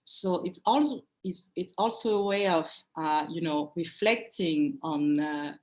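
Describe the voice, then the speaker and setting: A woman born in Cameroon speaks as a curator on a video call panel. Her voice sits low, and she pauses often, restarting words as she searches for them. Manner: hesitant, many fillers and restarts. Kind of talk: panel discussion.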